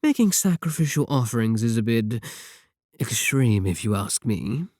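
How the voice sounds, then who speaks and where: Man voicing a sardonic evil-organization villain, mellow dark tone, close dry sound, unhurried, condescending, softly menacing, ASMR roleplay. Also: mid-range voice, animated, no echo, natural voice. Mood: mocking. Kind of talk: scripted ASMR audio roleplay.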